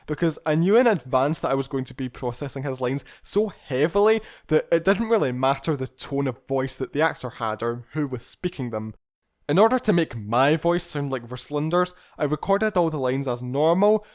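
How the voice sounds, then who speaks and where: A man narrating a scripted personal retrospective as a video creator, speaking in a candid, reflective and articulate way. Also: a little high.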